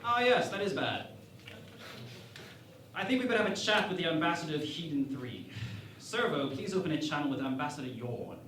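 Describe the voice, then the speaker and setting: A cocky, grandiose male starship captain, acted by a man in a parody radio play. His delivery is bright and lively, full of self-assurance. It is recorded in a roomy space, a little off the mic. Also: on the high side, fairly quick, long pauses. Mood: breezy, confident. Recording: echoey room, distant mic, faint noise.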